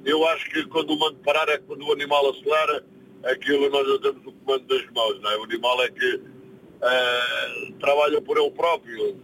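A man's voice: mid-range voice, fairly even intonation, brisk, fairly bright tone, rough recording. A man from Portugal with a European Portuguese accent, speaking as a guest in a casual radio chat. He is hesitant and easygoing and often repeats his words.

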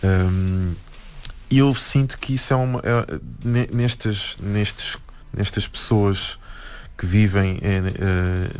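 Male guest, European Portuguese accent, low voice, reflective and halting. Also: darkish tone, smooth. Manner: hesitant, many restarts and "não é?" tags. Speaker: guest, musician and anthropologist. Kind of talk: reflective interview talk. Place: radio studio.

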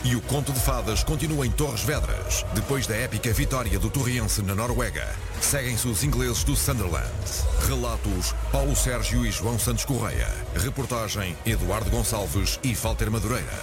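A man's voice: on the low side, expressive, normal speed. A man with a European Portuguese accent, voicing radio promos in a punchy announcer style. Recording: loud music bed.

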